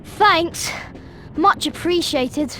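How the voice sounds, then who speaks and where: A woman playing a young English schoolboy wizard in a video game cutscene, a sarcastic, grumbling, excitable sidekick who blurts things out. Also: very high-pitched, drawn-out words, a little hiss or hum, heavily acted. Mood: relieved, practical. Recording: music behind the voice.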